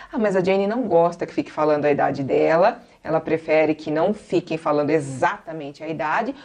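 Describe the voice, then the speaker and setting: A woman's voice: lowish voice, a little mellow. Patient Brazilian woman in her forties teaching. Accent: Brazilian Portuguese. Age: 40s. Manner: patient, repeats each example slowly. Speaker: English teacher. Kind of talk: classroom-style explanation.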